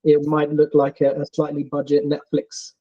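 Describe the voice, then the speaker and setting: A man's voice: fairly high pitch, monotone, normal speed, mellow, muffled recording. A young adult man with a London accent, a filmmaker answering interview questions with many ums and restarts. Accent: London English. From England. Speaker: filmmaker guest.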